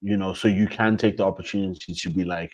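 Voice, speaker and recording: A man's voice: deep voice, steady tone, fast, darkish tone. A young man co-hosting a podcast, talking things over in a casual, thoughtful way, often restarting his phrases and dropping slang. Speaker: podcast co-host. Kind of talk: casual reflective chat. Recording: dull lo-fi sound.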